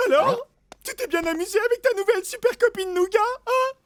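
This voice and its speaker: An actor voicing a naive, whiny, sentimental wild boar in a cartoon, slow-witted and melodramatic. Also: very high voice, very noisy. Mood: hurt, sulky. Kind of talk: exaggerated cartoon voice acting. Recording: loud music bed behind.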